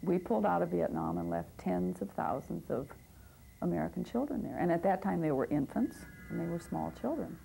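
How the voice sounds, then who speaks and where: A woman's voice: fairly low pitch, lively intonation, fairly quick, creaky, mellow, a little hiss or hum, rough recording. A woman advocate and teacher speaking earnestly and with passion in a documentary interview, sometimes restarting her sentences.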